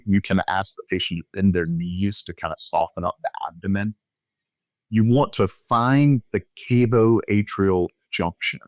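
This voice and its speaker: Low-voiced man explaining clinical technique. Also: no room sound. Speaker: physician guest expert. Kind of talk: explanatory medical interview.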